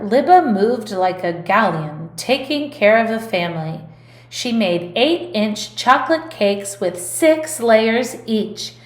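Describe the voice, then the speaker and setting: A woman music teacher reading a picture book aloud in an expressive, deliberate storytelling delivery. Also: fairly high pitch, echoey room.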